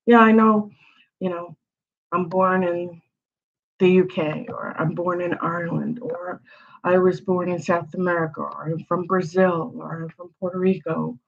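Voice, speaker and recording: A woman's voice: lowish voice, steady tone, measured pace, creaky. A woman hosting an astrology channel, giving a casual, rambling forecast full of rhetorical questions. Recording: slightly dull lo-fi audio.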